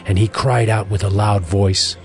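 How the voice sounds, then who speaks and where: Male narrator, lowish pitch, formal measured scripture reading, music underneath. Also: fairly even intonation, a little mellow.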